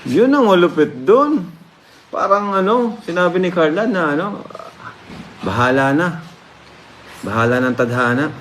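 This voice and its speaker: A man's voice: a little high, animated, measured pace, pauses often, a bit bright. A man hosting a reaction video, commenting casually to his viewers and repeating phrases for emphasis. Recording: clear background noise.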